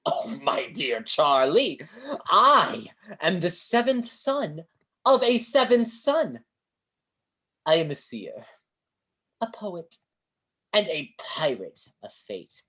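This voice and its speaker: A woman's voice: lowish voice, big pitch swings, takes it slowly, long pauses, a bit bright, breathy edge, heavily acted. A woman playing a grandiose, kindly street fortune-teller with florid delivery in a staged play reading over a video call. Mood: mystical, boastful. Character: Great Banzini, grandiose theatrical male street fortune-teller, kindly mystic.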